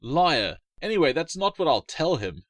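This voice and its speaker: A bratty little boy in a storybook, loud and taunting, as a male narrator puts him on. His voice is raised and pushy. The recording is close and dry. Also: fairly high pitch, big pitch swings, moderate pace, no echo, voice pushed up. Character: cheeky mischievous little boy, a fibber.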